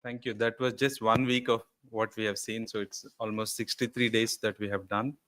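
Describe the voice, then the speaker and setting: Low monotone guy doing host remarks, kinda flat, faint noise in back. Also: moderate pace. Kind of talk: casual event remarks.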